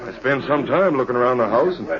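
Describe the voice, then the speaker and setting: A man's voice: mid-range voice, expressive, fast, crowd noise, natural voice. An actor playing an insistent, accusing frontier townsman with folksy talk, in old radio western style. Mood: alarmed. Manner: insistent, folksy rustic drawling grammar. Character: pushy, accusing frontier townsman, vengeful and shifty. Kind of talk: 1940s western radio drama acting. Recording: muffled old radio broadcast.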